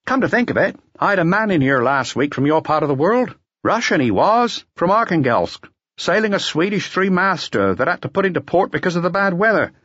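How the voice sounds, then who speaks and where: A man acts out a garrulous, conniving barman in a dockside dive for an audiobook. The voice is pitched well up and runs on in colloquial working-class phrases. The recording is dry and a little dull. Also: very animated, fairly quick, a bit bright, dry recording, full character voice. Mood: chatty. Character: chatty, conniving male harbour barman. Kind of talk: audiobook character dialogue.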